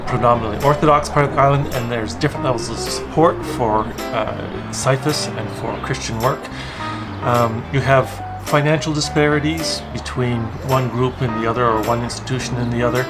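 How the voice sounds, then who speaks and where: Newfoundland Canadian man, interviewee, measured and halting, loud music underneath. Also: medium pitch, steady tone. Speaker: interviewee, volunteer. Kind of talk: casual interview. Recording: loud music bed behind voice.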